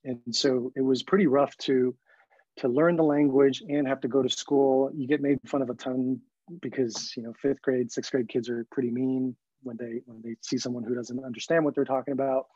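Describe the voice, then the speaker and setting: A man in his forties with a husky, mid-pitched voice tells his own story as an interview guest. He grew up in Hong Kong and learned English as a child, and he speaks in a reflective, conversational way. The recording is a little dull. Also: fairly even intonation, moderate pace, a little mellow, dry recording. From Hong Kong, then the California Bay Area from age ten. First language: not English, learned it at about ten. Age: 40s.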